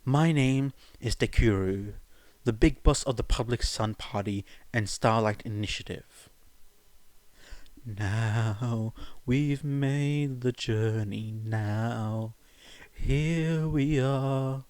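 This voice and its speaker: A man voicing a defiant young male revolutionary leader in a fan fiction audiobook, with grandiose, drawn-out, theatrical delivery. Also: mid-range voice, a little mellow, smooth, no echo, natural voice. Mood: resolute, grandiose.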